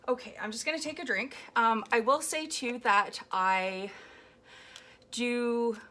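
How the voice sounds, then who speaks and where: A woman with a slightly hoarse, raw voice chatting casually about her collage process at home, rambling with frequent ums. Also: fairly high pitch, slow, pushed and bright, slight background noise. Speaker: collage artist. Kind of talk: casual commentary while making a collage. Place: home, indoors at a table. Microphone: overhead camera on a floor mount.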